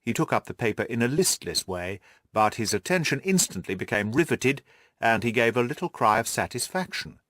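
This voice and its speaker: A man's voice: medium pitch, sing-song, brisk, fairly bright tone, dry recording, studio quality. A man reading scripted literary narration as an audiobook narrator.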